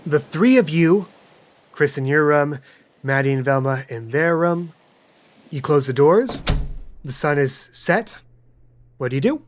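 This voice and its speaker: A man's voice: fairly high pitch, expressive, a little slow, long pauses, a little hiss or hum. A man narrating a tabletop roleplay game as game master, describing scenes directly to the players. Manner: descriptive second-person narration.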